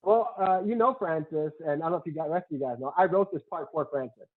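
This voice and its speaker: A man's voice: on the high side, quick pace. A man who wrote a screenplay, reading its stage directions and talking as narrator of the table read. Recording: tinny, phone-like call audio.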